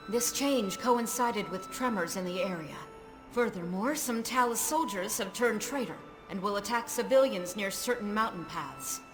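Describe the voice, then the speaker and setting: A woman playing a loyal covert agent in a video game, serious and protective, briefing in an earnest, measured way. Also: mid-range voice, lightly acted. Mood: serious, secretive. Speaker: game character. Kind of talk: dramatic video game voice acting. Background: loud dramatic game music.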